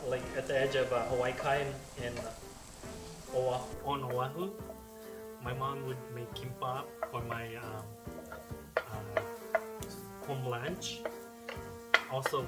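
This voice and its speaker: A Korean-born man whose first language is Korean, hosting a casual cooking tutorial in his kitchen and chatting through memories with lots of fillers. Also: mid-range voice. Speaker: home cook and video host. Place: home kitchen while cooking. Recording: roomy kitchen with loud background music.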